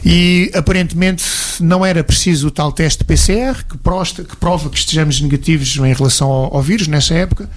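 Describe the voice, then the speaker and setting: A man's voice: on the high side, fairly quick, hardly any pauses, slight background noise. A man with a European Portuguese accent telling travel anecdotes in detail as an interview guest. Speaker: interview guest, martial arts instructor. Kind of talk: conversational travel storytelling.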